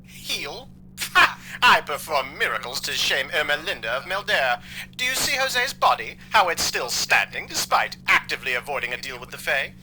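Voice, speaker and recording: An actor playing a haughty, theatrical possessing spirit in an audio drama, speaking in formal, wordy, boastful phrases. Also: male voice, fairly high pitch, big pitch swings, moderate pace, pushed and bright, a little hiss or hum, exaggerated voice, low-quality recording.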